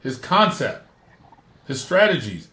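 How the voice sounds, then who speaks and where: A middle-aged man with a naturally rough voice, hosting a podcast and giving emphatic, repeated advice in casual chat. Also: medium pitch, big pitch swings, slow, sharp tone, echoey room. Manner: emphatic, repeats points for stress. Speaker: podcast host.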